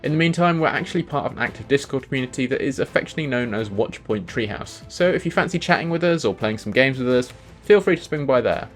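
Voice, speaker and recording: A man's voice: mid-range voice, lively intonation, fast talker, few pauses, soft background music. A man hosting a video, reading scripted commentary on video game lore. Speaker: video host.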